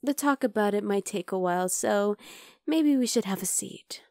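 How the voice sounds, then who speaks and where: A woman's voice: mid-range voice, expressive, moderate pace, no room sound, clean studio sound, natural voice. A woman playing an obsessive, chatty vampire lab worker in an ASMR roleplay, coaxing and teasing the listener. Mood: coy, chatty. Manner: conversational, teasing, coaxing, with soft asides.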